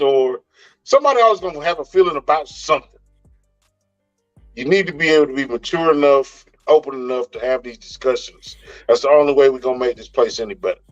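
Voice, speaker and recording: A man's voice: medium pitch, normal speed, faint music behind. A man hosting a podcast, wrapping up in a laid-back, reflective way. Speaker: podcast host. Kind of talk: casual chat. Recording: dull lo-fi recording.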